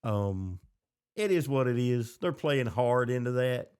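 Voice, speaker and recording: A man's voice: medium pitch, expressive, a little slow, darkish tone, smooth. An American man hosting a podcast, chatting casually about TV shows in reaction to them. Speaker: podcast host.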